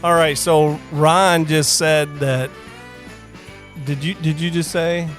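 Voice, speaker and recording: A man's voice: a little high, level tone, slow, faint music behind. A man co-hosting a podcast in casual interview chat, joking and enthusiastic. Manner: jokey, enthusiastic. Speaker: podcast co-host. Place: indoors at a small theatre.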